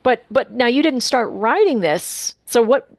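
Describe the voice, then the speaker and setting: A woman's voice: fairly high pitch, animated, a little slow. A woman interviewing a guest, asking questions in a hesitant, trailing way. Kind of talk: casual interview chat.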